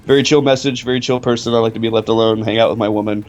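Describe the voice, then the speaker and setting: A man's voice: on the low side, fairly even intonation, racing, dull audio. A man in his twenties, a guest in a casual online interview, talking in a laid-back, rambling way and laughing often. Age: 20s. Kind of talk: casual chat.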